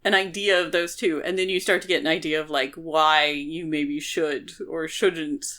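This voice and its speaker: A woman co-hosting a book podcast, talking casually and explaining things in an animated, conversational way. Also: sits low, normal speed. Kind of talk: casual chat discussing a novel.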